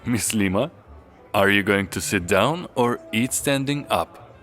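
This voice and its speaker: Man acting a deep, smooth Russian-accented doctor. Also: measured pace, a bit bright. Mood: teasing, suave. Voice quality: smooth, rumbling. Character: smooth, tall, wealthy young Russian doctor, formal and self-assured. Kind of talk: romance audio drama acting. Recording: prominent music under the voice.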